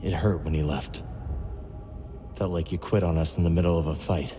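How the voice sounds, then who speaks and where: An actor as a guarded young Jedi fighter in a cinematic game. His voice is low and very mellow, understated but earnest. It sits in long reverb. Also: fairly even intonation, moderate pace, lightly acted. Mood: quietly hurt. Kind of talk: cinematic video game acting. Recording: long reverb, faint music behind.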